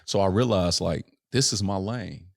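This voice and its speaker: Deep-voiced man from Chicago. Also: moderate pace, gravelly, dry recording, studio quality. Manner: earnest, checks in with constant "right?" tags. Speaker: rapper, podcast guest. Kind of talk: casual reflective interview chat.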